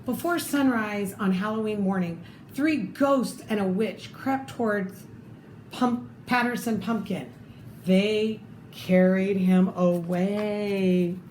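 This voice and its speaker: A woman reading a children's picture book aloud expressively, pausing to add her own comments. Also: medium pitch, darkish tone. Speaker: storybook reader. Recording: echoey room with faint background noise.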